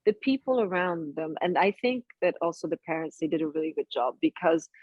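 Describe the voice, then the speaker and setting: A middle-aged woman who grew up in Italy, speaking English as a guest actress in a casual interview, talking in animated bursts and often restarting her sentences. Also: fairly low pitch, brisk, breathy edge, dry recording, phone-quality sound. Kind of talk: casual interview over a call.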